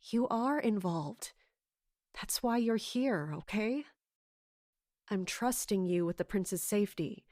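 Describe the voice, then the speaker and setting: Measured, secretive leader, slow-paced. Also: female voice, medium pitch, animated, a little mellow, no room sound, clean studio sound. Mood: evasive, earnest. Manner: measured, evasive, firm. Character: secretive, weary woman in charge, protective. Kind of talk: audio drama acting.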